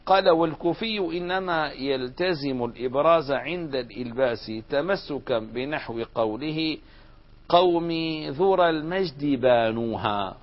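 A man's voice: fairly high pitch, normal speed. A man teaching an Arabic grammar lesson, explaining step by step and putting questions to the class. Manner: explains step by step, asks students questions and answers them. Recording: dull lo-fi recording.

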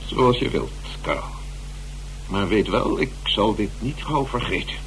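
A low-voiced, huffy theatre owner. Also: male voice, expressive, a little slow, a bit bright. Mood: offended. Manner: formal, self-important. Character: proud, huffy, offended male theatre owner. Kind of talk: radio drama acting. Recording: noisy, humming radio broadcast recording.

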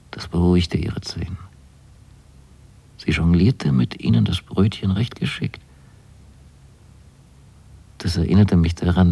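An actor playing a naive, wryly fastidious son in a radio drama, telling of his new life in measured, precise, deliberate phrases. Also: low-pitched, dark tone, husky, dry recording, exaggerated voice. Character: young man writing letters to his mother, wryly fastidious and naive. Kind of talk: literary radio drama monologue.

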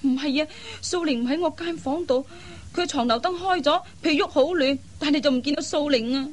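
A woman playing an anxious, caring elder sister in an expressive, earnest radio drama style. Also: high voice, fairly quick, lightly acted. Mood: concerned, puzzled. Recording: faint background noise.

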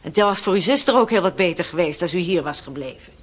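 A woman's voice: lowish voice, expressive, fast. An actress playing a fussing, possessive village priest's housekeeper in a radio play, scolding and motherly. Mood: scornful, indignant. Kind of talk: Dutch radio play acting.

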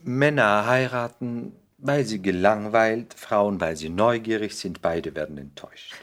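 Ein Schauspieler spielt in einem Hörspiel der 1960er einen zynischen englischen Aristokraten, geschliffen, weltgewandt und herablassend. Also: male voice, on the low side, measured pace, a bit bright. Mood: witty, cynical. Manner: polished, epigrammatic, worldly, condescending. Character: suave, cynical English aristocrat dandy, lecturing a young man. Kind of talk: 1960s German radio play acting.

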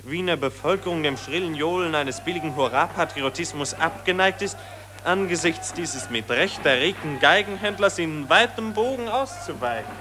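An actor playing a timid, pedantic learned gentleman in a 1940s radio play, speaking in mannered, long-winded phrases. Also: on the high side, expressive, moderate pace, close to an everyday voice. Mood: uneasy, pedantic. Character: fussy, pedantic, timid learned journalist colleague. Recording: old radio recording with music behind.

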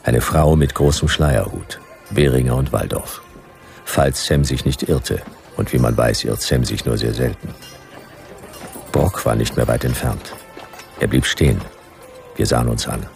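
An extremely deep, gravelly noir narrator. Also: male voice, soft background music, exaggerated voice. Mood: suspenseful. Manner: terse, deadpan, measured. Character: laconic, world-weary noir detective narrator. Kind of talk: scripted radio drama narration.

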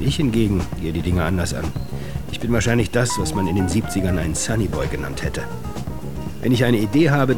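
A man's voice: lowish voice, lightly acted. An actor playing an impulsive, self-assured man giving conversational, self-justifying testimony in a radio drama. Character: impulsive, self-assured sunny-boy member of an organisation. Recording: music under the voice. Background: music.

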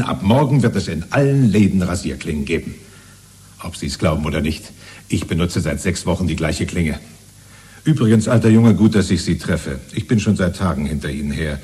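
A middle-aged radio-drama neighbour, with a very deep and heavily rasping voice. He is chummy and blustering, an eager, gullible loyalist and proud father. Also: dark tone. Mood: hearty, pushy. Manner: chummy, blustering, repeatedly matey. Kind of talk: 1970s German radio drama acting.

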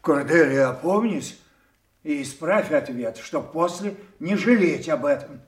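An actor playing a proud, imperious old king in a solemn, declamatory classical audio drama, quick to rage. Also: a little high, expressive, reverberant. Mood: stern, wounded.

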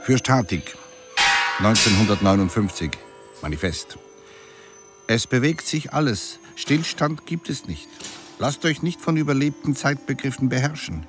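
A man's voice: mid-range voice, animated, unhurried, over music, close to an everyday voice. A man playing an avant-garde artist in an audio drama, declaiming a manifesto in short, emphatic phrases. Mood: exhortative.

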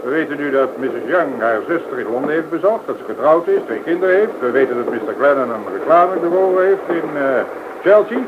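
A man's voice: fairly high pitch, lively intonation, fairly quick, close to an everyday voice. An actor playing an irritable, sarcastic businessman in a radio drama, prickly and curt. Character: irritable, sarcastic businessman, a textile factory owner. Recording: noisy, thin, phone-like radio play recording.

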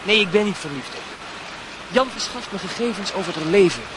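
An actor playing a lovesick, proud and touchy schoolboy in a radio drama, with an emotional, argumentative manner. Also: male voice, high-pitched, expressive, a little fast. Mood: defensive, cocky. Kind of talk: Dutch radio drama acting. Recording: noisy radio play recording with ambience.

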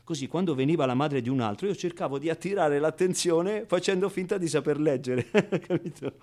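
Male actor as cheeky motherless orphan, fairly high voice, radio theatre monologue, colloquial, halting repetitions, outbursts and hushed confiding. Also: lightly acted. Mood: wry, confiding. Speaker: stage actor performing a monologue.

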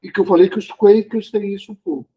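A man's voice: high-pitched, steady tone, fast talker, slightly rough, muffled recording. A man leading a study group discussion, musing aloud and explaining, often checking in with tag questions.

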